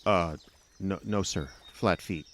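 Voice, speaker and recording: A man's voice: sits low, slow, a bit bright. A man acting in a radio drama as a nervous, obedient sheriff's deputy with a hesitant, deferential way of talking. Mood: deferential, uneasy.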